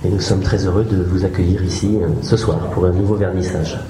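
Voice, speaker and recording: A man's voice: sits low, flat delivery, fairly quick, crowd noise. A man giving a formal welcome speech at an exhibition opening, with hesitations and repeated words. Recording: echoey hall with background noise.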